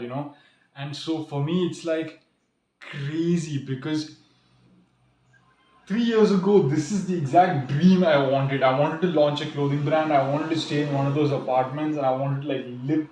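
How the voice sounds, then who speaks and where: A man's voice: mid-range voice, a little mellow. A young man who grew up in the UAE, rambling earnestly through a casual vlog, full of "like" and "literally", talking from a small room. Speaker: vlogger. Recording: echoey room.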